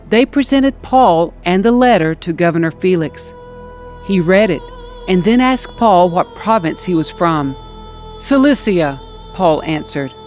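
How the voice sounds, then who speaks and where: A woman's voice: lowish voice, a little mellow. Woman narrating scripture over music. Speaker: scripture reader.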